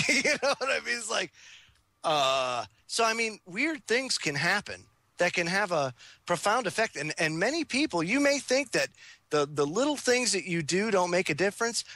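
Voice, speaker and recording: A man's voice: high-pitched, bright, forceful tone, no room sound. A man hosting a talk radio show, speaking in a casual, chummy way and bantering with callers.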